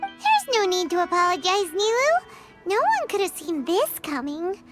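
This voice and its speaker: A woman voicing a tiny childlike fairy companion in an anime game, squeaky, excitable and quick to exclaim indignantly. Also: faint music behind, full character voice. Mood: sympathetic. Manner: refers to self in third person, exclaiming.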